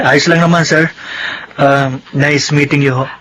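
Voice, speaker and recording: A man's voice: on the high side, steady tone, slow pace, pushed and bright, rough recording. A man with a Filipino accent acting a polite, easygoing youngest son in a radio drama, with a boyish manner. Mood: friendly.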